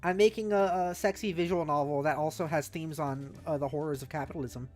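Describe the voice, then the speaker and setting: A woman with a fairly low voice talks over background music on a casual livestream. She thinks out loud in loose, informal fragments, with a playful manner as she chats with her viewers. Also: fairly quick. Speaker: livestreamer host. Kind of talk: casual livestream brainstorming, reading chat aloud.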